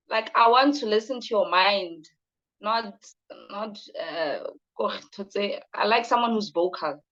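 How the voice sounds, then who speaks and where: A woman's voice: a little high, a bit bright. A South African woman in her late thirties speaks plainly and unhurriedly to an interviewer. She takes her time over each point and mixes in Sesotho phrases. The sound is a little dull. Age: late 30s. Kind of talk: casual interview answers.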